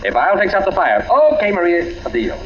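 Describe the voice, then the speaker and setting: An actor playing an easygoing, slightly evasive young husband, casual and hesitant, in 1940s radio drama style. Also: male voice, high voice, sing-song, brisk. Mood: affable, evasive. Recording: muffled, noisy 1940s radio recording.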